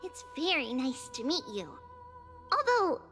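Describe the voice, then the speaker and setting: A little girl oracle in a fantasy game. The tiny, very high voice is gentle and polite, soothing like an old soul, with music behind it. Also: big pitch swings, unhurried, heavily acted. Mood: cheerful, reassuring. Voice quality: childlike, light. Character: small childlike girl seer, gentle, caring, old-souled. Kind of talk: scripted game voice acting.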